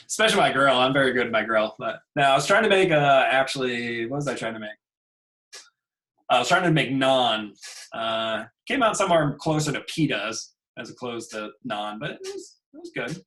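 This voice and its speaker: A man, an instructor, chatting casually with his students on a video call, relaxed and chuckling as he trails off. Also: medium pitch, normal speed. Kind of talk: casual class meeting chat. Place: home.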